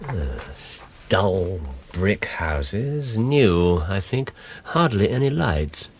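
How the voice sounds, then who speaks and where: An actor playing a self-assured English gentleman detective in a radio drama, precise, dry and coolly observant. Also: low voice, very animated, drawn-out words, exaggerated voice. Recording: noisy radio drama background.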